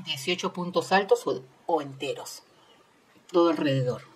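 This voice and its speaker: Husky, low-voiced woman. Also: normal speed. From Peru. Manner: instructional, repeats phrases, adds tag questions. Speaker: host of a crochet tutorial channel. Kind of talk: informal step-by-step craft tutorial.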